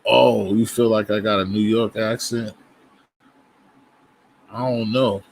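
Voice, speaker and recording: A man's voice: lowish voice, fairly even intonation, slow, creaky. Rambling Boston man on a livestream. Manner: loose, rambling talk to viewers, frequent restarts. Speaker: livestream host. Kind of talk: casual livestream chat.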